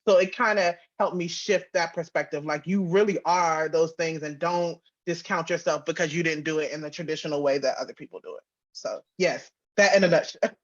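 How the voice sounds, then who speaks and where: A woman's voice: low-pitched, steady tone, fairly quick, lo-fi sound. A woman giving casual, informal interview answers as a writer and producer, often acting out bits of dialogue as she talks. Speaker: interview guest, writer and audio drama producer.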